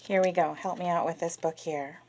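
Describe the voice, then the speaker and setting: A woman reading a children's picture book aloud, talking directly to the kids and dropping to a soft whisper on the refrains. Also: on the low side, noisy background. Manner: slow, expressive, talks directly to children.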